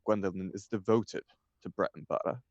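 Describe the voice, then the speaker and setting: Man acting a young upper-class idler, low voice, arch, mock-serious, dry close sound, stage comedy. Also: steady tone, fairly quick, darkish tone, no echo, lo-fi sound, lightly acted. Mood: teasing, indignant. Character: flippant, idle upper-class young bachelor gentleman. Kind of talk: stage comedy table reading.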